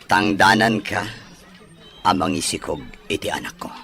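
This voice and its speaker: A man's voice: on the low side, fairly even intonation, normal speed, bright, forceful tone, faint music behind. An actor with a Filipino accent playing a wealthy, persuasive man who presses his offer in an audio drama. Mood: confident, persuasive. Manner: persuasive, insistent. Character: wealthy single man pressing a woman to bear his child. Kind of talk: Ilocano audio drama acting.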